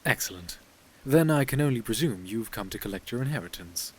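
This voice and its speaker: An actor playing a prim, mysterious business partner in an audio drama, speaking formally and politely, with measured evasiveness. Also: male voice, medium pitch, expressive, husky. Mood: formal, guarded.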